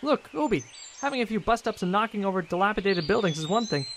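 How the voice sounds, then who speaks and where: An earnest, idealistic spaceman , acted by a man, speaks with wonder and conviction in a science-fiction audio drama. His voice is a little high for a man, with a light layer of noise behind it. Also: lively intonation, quick pace, fairly bright tone. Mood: earnest, troubled.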